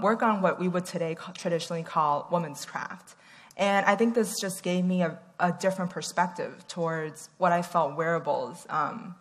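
Soft-voiced woman speaking in a large hall. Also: on the low side. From Taiwan. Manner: frequent "um" fillers, earnest. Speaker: conference speaker. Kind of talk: stage talk presenting research. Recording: long hall reverb.

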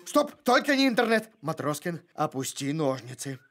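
An excitable cartoon dog, eager and a little whiny. The pitch is high and jumps around freely. Music plays behind the voice. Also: male voice, moderate pace. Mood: eager, pleading. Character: excitable male cartoon dog hooked on games. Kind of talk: animated cartoon acting.

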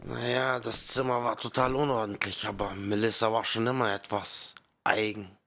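Man as grieving dad, gravelly and low, measured. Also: level tone, a bit bright, a little hiss or hum. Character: grieving father of a missing girl, calm. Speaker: father of missing daughter. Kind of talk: fan audio drama acting.

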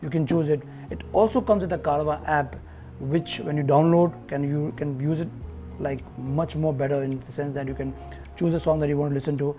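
A man's voice: a little high, dark tone. A man in his forties with an Indian accent, giving a casual, conversational product review to viewers. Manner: conversational, addressing viewers directly. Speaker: tech reviewer presenting an unboxing. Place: indoor showroom-like room.

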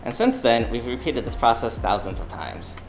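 A man's voice: medium pitch, sing-song, moderate pace, echoey room, faint music behind, rough recording. A man in his forties reading a scripted letter in an indoor lab, confident and punchy with a dry edge. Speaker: company spokesman.

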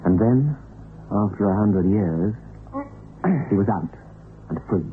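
Male narrator for vintage radio suspense: low-pitched, measured, thin narrow-band sound, music underneath. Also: a little hiss or hum. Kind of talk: scripted dramatic radio narration of a suspense story. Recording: phone-like narrow-band old radio recording. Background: background music.

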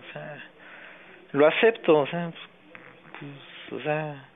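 A Mexican man talking informally on a phone call, slangy, halting and resigned. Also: fairly high pitch, fairly even intonation, creaky. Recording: noisy, narrow-band phone call.

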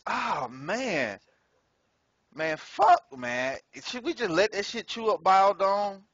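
A man's voice: high voice, big pitch swings, slow, creaky, fairly bright tone, no room sound, highs cut off. A man casually commenting on his gameplay in a slangy, profane way, laughing often. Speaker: gameplay streamer.

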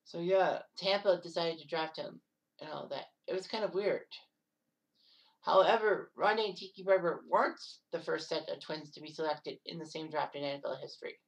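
A woman in her thirties narrating sports history casually, rambling with offhand asides and quick self-corrections. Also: low-pitched, recorded from a distance, poor audio. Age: 30s.

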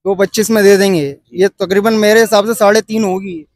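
A man in his thirties from Pakistan with a Karachi Urdu accent, filming a casual walkthrough of an outdoor cattle market on his phone and pressing sellers for lower prices. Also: steady tone, fairly quick, no room sound, studio quality. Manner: chatty, pushes for a lower price. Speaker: vlogger.

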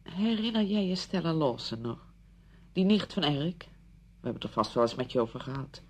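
A woman's voice: sits low, animated, moderate pace, darkish tone. An actress playing a well-meaning, pushy woman friend in a radio play, insistent and easily defensive, full of practical advice. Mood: coaxing. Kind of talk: Dutch radio play acting.

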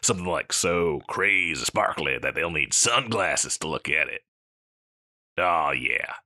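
Man playing a very deep-voiced slacker catboy dude. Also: expressive, pushed and bright, no echo, studio quality, exaggerated voice. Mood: laid-back, teasing. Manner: slacker dude drawl, halting and mumbly. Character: scruffy, insecure older slacker male catboy pet. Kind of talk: comedic audio roleplay addressed to the listener.

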